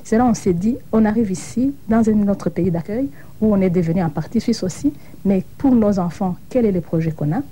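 A woman's voice: medium pitch, brisk, dark tone, a little hiss or hum, rough recording. A middle-aged woman from Burundi, giving an earnest, thoughtful account as an interview guest. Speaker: interview guest, association co-founder. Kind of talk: reflective broadcast interview.

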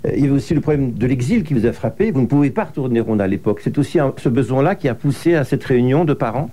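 A man's voice: medium pitch, animated, racing, warm tone, a little hiss or hum. A male host asking polite, leading interview questions and summing up his guests' story.

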